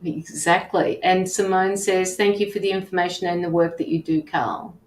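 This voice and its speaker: A woman's voice: on the low side, fairly even intonation, moderate pace, slight background noise. A woman casually hosting a live online interview in an echoey room. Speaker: host.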